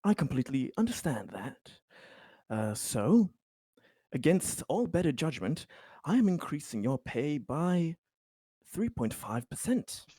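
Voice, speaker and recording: A man playing a placating yet firm bureaucratic official in improvised tabletop roleplay, speaking haltingly and officiously with long pauses. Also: a little high, sing-song, dark tone, slightly rough, no echo, pitched above the performer's own voice, exaggerated voice.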